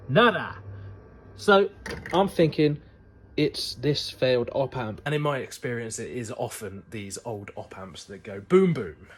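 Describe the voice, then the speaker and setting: A man's voice: medium pitch, a little hiss or hum. A man presenting an electronics repair in a casual, informal technical explainer style, with playful asides.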